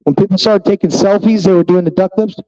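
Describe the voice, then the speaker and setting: A man's voice: high-pitched, steady tone, fast, mellow, dull audio. Blunt, profane fifty-year-old man ranting. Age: 50s. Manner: talks straight to viewers. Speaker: vlogger. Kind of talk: casual vlog monologue.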